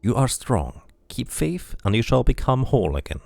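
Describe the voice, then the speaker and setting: A man's voice: medium pitch, very animated, normal speed, creaky, a little mellow, dry recording, studio quality, pitched above the performer's own voice. A man reading as a grave, cryptic dabus tattooist. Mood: solemn. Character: solemn male dabus tattooist, speaking in symbols.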